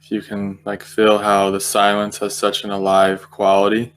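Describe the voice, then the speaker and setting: A man with a low voice guides a meditation in a very flat, even tone. He speaks gently and unhurriedly, leaving long pauses between phrases as he finds his words. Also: clear, resonant tone. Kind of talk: guided meditation, unscripted spiritual talk.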